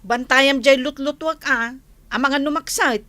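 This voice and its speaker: A woman with an Ilocano Filipino accent playing an excitable, fretful fish-selling wife in a radio comedy drama. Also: high-pitched, measured pace, dry recording. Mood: brisk.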